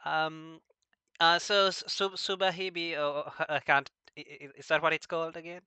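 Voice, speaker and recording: Halting man interviewer, crisp and dry. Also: on the high side, level tone, pushed and bright, dry recording. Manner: halting, stammering, unsure. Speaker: interviewer, host. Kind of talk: casual, unscripted interview.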